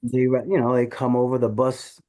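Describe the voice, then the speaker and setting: A man who owns a café, answering interview questions in a relaxed, laid-back way, often saying "you know". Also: mid-range voice, brisk. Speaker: café owner, guest.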